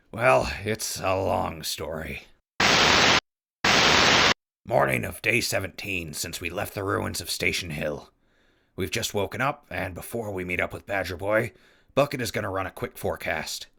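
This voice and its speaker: A man's voice: sits low, moderate pace, gravelly, pushed and bright, full character voice. A man playing a wasteland traveler who narrates his crew's journey conversationally in an audio drama. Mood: reflective, easygoing. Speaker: narrator and crew leader.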